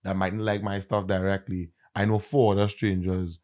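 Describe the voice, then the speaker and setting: A man with a Trinidadian accent, a content creator and comedian, chatting in an interview and telling stories with lively emphasis. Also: sits low, moderate pace. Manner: animated, emphatic storyteller. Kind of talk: casual interview chat.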